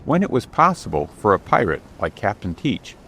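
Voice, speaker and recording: Low-pitched male audiobook narrator. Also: very animated, moderate pace, sharp tone, slight background noise. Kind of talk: scripted dramatic storybook narration. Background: outdoor ship ambience.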